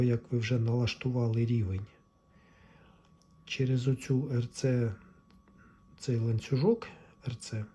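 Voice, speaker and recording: A man with a soft-edged, dark voice talks slowly through an informal technical explanation. He goes step by step, haltingly, like a hobbyist presenter. There is faint noise behind him. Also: mid-range voice. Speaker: electronics repair hobbyist presenter.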